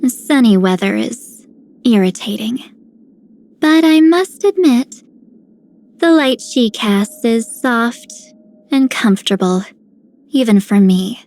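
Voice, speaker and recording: A woman voicing a haughty, sharp-tongued commander in a video game, imperious and curt. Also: a little high, lively intonation, slow pace, long pauses. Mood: grudging. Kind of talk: scripted game character lines.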